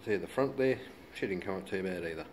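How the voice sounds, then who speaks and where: Low-voiced Australian man, noisy recording. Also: lively intonation, fast, a little mellow. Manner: laid-back, colloquial, mild swearing. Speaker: hobbyist demonstrator. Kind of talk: casual hands-on how-to commentary. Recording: noisy handheld home video.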